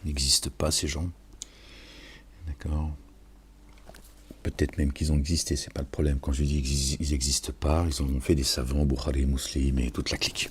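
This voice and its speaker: A man's voice: rumbling low, level tone, moderate pace, pauses often, slight background noise. A man giving an informal, sermon-like reflection on scripture, preaching and addressing his listeners familiarly with frequent check-in questions. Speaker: online religious and political commentator.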